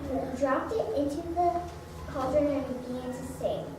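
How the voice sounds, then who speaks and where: A woman narrating a scripted story aloud, halting and stumbling over the reading. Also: high-pitched. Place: large echoey room, recorded from afar. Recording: very echoey room, distant mic, background noise.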